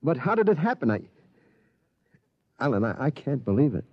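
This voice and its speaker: A man's voice: mid-range voice, sing-song, moderate pace, no room sound, dull audio. An actor playing an anxious middle-aged father, hesitant and incredulous, in the manner of 1950s radio drama. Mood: disbelieving. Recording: vintage radio broadcast.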